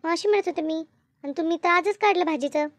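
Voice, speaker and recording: A woman's voice: extremely high pitch, quick pace, dry recording. A woman playing a busy, talkative village housewife in a comedy cartoon, chatting colloquially with a rural Maharashtrian accent. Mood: chatty. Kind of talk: animated comedy cartoon dialogue.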